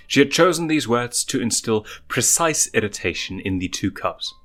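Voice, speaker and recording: Guy narrating a fanfic storybook, lowish voice, soft music behind. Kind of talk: fan fiction storybook narration.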